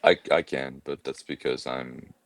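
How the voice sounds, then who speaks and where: A man's voice: very deep voice, fairly even intonation, a little slow, slight background noise. A Canadian man in his thirties with a Midwestern accent, chatting casually as a guest and thinking aloud with lots of hesitant fillers. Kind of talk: casual conversation.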